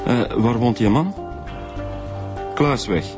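A Flemish actor playing an informal, teasing, grumbling police detective in a naturalistic radio drama, talking colloquially. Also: male voice, medium pitch, expressive, slow, music in the background. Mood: casual. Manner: colloquial, casual.

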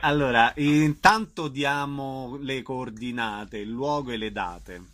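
A man's voice: mid-range voice, takes it slowly, a bit bright. A man hosting a conversational radio interview, putting questions to a guest.

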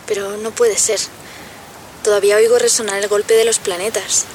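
A woman's voice: medium pitch, level tone, moderate pace, a little hiss or hum, close to an everyday voice. An actress playing a sensual, troubled young woman in a radio drama, hesitant and confessional. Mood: bewildered. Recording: loud music and water sounds behind. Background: music, boat and water soundscape.

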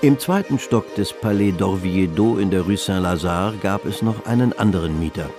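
An actor as the composed narrator of a German radio drama, telling a period novel in literary, scripted style. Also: male voice, low voice, normal speed, music in the background, lightly acted. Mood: composed, descriptive.

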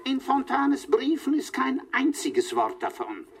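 A woman playing a haughty, indignant businesswoman proud of her lineage in a radio play, husky, imperious and scornful. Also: high-pitched, wildly swooping pitch, measured pace, a little hiss or hum, exaggerated voice. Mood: scornful disbelief.

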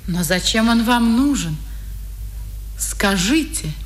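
A tender young woman guarding her husband in an old Soviet radio play. Her voice sits fairly high and moves expressively. She sounds earnest, anxious and guarded. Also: animated, slow pace. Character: tender, anxious, protective young wife of a hunted man. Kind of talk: 1950s Soviet radio drama acting.

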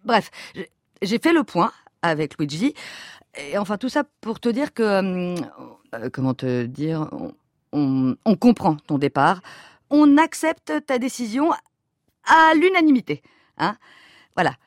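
An actress playing an awkward, apologetic cult leader, halting and trailing off on a call in a comic audio fiction. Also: female voice, mid-range voice, big pitch swings, no room sound, studio quality. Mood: embarrassed, hesitant. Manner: halting, fumbling, trails off mid-sentence.

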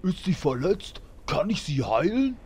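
A man's voice: high voice, big pitch swings, a little slow, a little mellow, slight background noise. A man acting a naive, whiny newcomer to tabletop gaming in a comedy audio drama, plaintive and sulky. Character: naive, whiny beginner gamer playing a healer.

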